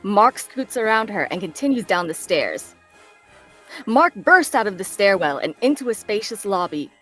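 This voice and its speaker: A woman's voice: mid-range voice, very animated, moderate pace, fairly bright tone, soft background music, rough recording. A woman narrating stage directions in a scripted table read.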